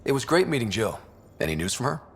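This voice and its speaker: A man's voice: medium pitch, big pitch swings, quick pace, gravelly, a bit bright, breathy edge, exaggerated voice. A man playing a young, easygoing space explorer and team leader in video game dialogue, speaking casually. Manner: casual, conversational.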